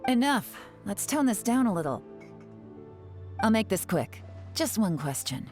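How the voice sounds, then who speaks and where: A woman playing a spymaster in a video game, calm and cool, in full command. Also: medium pitch, a little slow. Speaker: spymaster leading an investigation. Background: game music.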